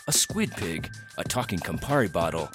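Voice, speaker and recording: A man's voice: sits low, sing-song, normal speed. A man reading a scripted podcast promo as an announcer. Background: music.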